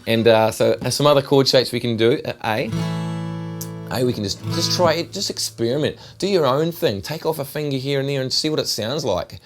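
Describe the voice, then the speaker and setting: A middle-aged man with a New Zealand accent, giving a relaxed, chatty guitar lesson. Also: medium pitch, fairly quick. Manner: relaxed, chatty, repeats himself while demonstrating. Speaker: guitar teacher. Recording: loud guitar over the voice. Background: acoustic guitar playing.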